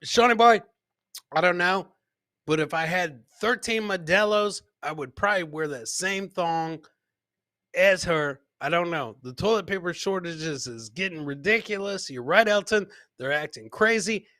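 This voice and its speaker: A man with a high, bright voice hosts a live comedy stream. He comments on clips in a boisterous, joking way, circling back to his catchphrases, and the sound is close and dry. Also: animated, a little slow, dry recording. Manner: boisterous, jokey, repeats catchphrases. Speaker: podcast host.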